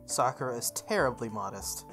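A woman's voice: rumbling low, expressive, moderate pace, soft background music. A woman reading visual novel narration aloud, with casual, chatty asides.

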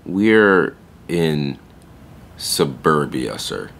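An actor playing a young, patient pet store clerk in a podcast drama. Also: male voice, a bass voice, very animated, extremely slow, creaky, fairly bright tone, exaggerated voice. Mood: dry, patient. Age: young adult. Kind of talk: podcast audio drama acting.

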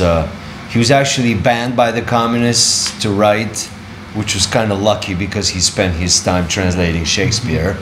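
Low man chatting, echoey room. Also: noisy background. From Bulgaria. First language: Bulgarian. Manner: animated, laughing, conversational. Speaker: actor on a panel. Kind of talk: panel discussion. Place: wood-panelled university room.

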